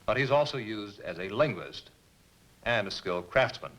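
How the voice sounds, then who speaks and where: A man's voice: fairly low pitch, expressive, normal speed, a bit bright, low-quality recording. A man, an army colonel, answering questions in a formal, assured manner in a scripted interview.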